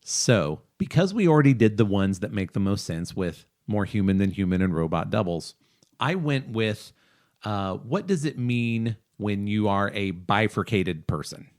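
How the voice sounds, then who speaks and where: A man's voice: lowish voice, very animated, moderate pace, darkish tone. A man co-hosting a podcast, casually discussing and explaining his opinions in a review style. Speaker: podcast co-host.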